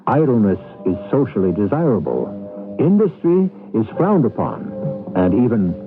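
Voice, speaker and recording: A man hosting a radio drama with deliberate, theatrical scripted narration. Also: lowish voice, very animated. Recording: narrowband 1980s radio with music.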